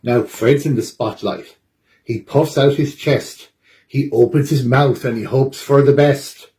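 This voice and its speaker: A man reads a rhyming picture book for children as a storytime reader. His voice sits at an average pitch and he delivers each line with expressive drama. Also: unhurried.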